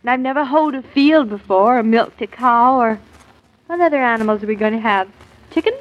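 Woman acting a naive young wife, high girlish voice, old radio drama, muffled lo-fi 1940s sound. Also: brisk, natural voice. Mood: naive, playful. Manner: girlish, guileless questioning. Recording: dull, lo-fi 1940s radio broadcast.

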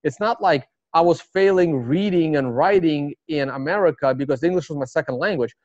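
A man's voice: on the high side, normal speed, a little mellow, dry recording. A man from Russia with a Russian accent, a native Russian speaker, talking as an interview guest in a rambling way, full of fillers and restarts. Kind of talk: casual interview talk. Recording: dry, slightly dull sound.

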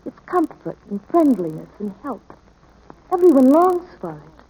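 A mellow, gentle ranch mother. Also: sits high, sing-song, husky, heavily acted, low-quality recording. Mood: gentle, thoughtful. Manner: gentle, thoughtful, measured. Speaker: actor in radio play. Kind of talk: 1940s radio drama acting.

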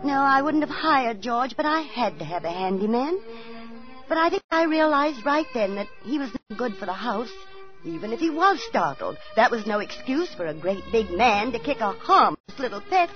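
An old band-limited radio broadcast carries a motherly, watchful landlady. Her voice sits fairly high and moves expressively up and down, in the theatrical manner of forties radio drama, with music behind. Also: sing-song, normal speed, dull audio. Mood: reflective, storytelling. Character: motherly, watchful boarding-house landlady, devoted to her cat. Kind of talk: 1940s radio drama acting and narration.

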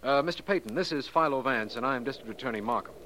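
Male actor as earnest district attorney, medium-pitched voice, brisk and courteous, businesslike, vintage radio drama, faint noise. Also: bright, forceful tone, lightly acted. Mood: firm, official. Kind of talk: 1940s radio drama acting. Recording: noisy vintage 1940s radio recording.

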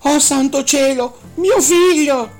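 A woman voicing an anxious, doting mother fox who pleads in a high, loud voice. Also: expressive, normal speed, soft background music, close to an everyday voice. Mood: frantic.

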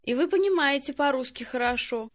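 A woman with a high voice reads model phrases for learners. She says each line slowly and deliberately, clearly pronouncing every word. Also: lively intonation. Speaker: native speaker in language drills. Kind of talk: slow, careful model phrases.

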